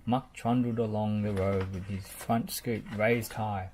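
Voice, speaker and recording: A children's storyteller reads a picture book at a slow, deliberate pace. The voice is measured, and clear noise sits behind it. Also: medium pitch, fairly even intonation, a little mellow.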